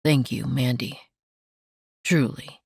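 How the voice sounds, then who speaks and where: A woman voicing a guarded, stoic male rancher in an audiobook, speaking in a reserved, halting way. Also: steady tone, gravelly, a little mellow, breathy edge, no room sound, studio quality, exaggerated voice. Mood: reserved, softly sincere. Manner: reserved, halting, low-voiced.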